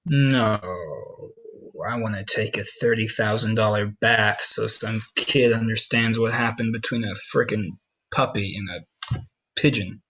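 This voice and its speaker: A man playing a gruff, cynical businessman father in a comedic script read, brusque and sarcastic, with a husky voice. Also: on the low side, steady tone, unhurried, sharp tone, exaggerated voice. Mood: irritated, brusque.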